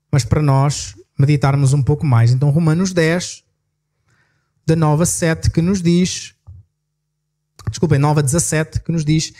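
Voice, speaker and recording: A male pastor with a European Portuguese accent, preaching and reading Bible passages aloud, slowing and lifting his voice on the verses and hurrying through asides. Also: a little high, mellow. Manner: reads verses slowly with rising emphasis, then talks quickly between passages; self-correcting, apologetic asides. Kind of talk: sermon with scripture reading.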